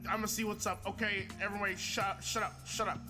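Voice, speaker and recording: A man voicing a loud, drunk, ranting villain scientist in a comedic video game fan dub. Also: high voice, flat delivery, fast, music in the background, close to an everyday voice. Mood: drunken ranting. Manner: bombastic, rambling, profane outbursts.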